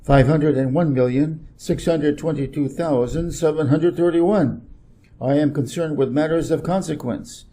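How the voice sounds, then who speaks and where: A man's voice: on the high side, unhurried, warm tone, low-quality recording. A man voicing a busy, self-important, irritable middle-aged businessman from a storybook, brusque and emphatic. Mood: pompous, irritated. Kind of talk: storybook character reading.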